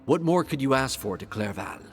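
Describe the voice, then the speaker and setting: A man's voice: mid-range voice, lively intonation, fairly quick, faint music behind, natural voice. An actor playing a loyal, earnest male hunter in a fantasy game, speaking firmly and insistently. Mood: indignant. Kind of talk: dramatic fantasy game acting.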